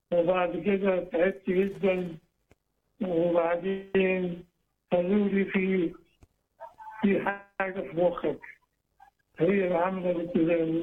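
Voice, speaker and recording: An elderly man in his eighties speaks with an Egyptian accent in a high-pitched voice. He is a caller asking for advice, heard through a thin, tinny phone line. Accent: Egyptian Arabic. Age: 80s. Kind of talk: phone consultation. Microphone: telephone.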